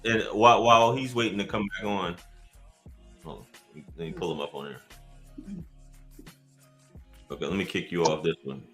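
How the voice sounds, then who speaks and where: An American man hosting a casual live talk show, speaking in an animated, slangy way with punchy rhetorical questions. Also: sits low, a little fast, faint music behind.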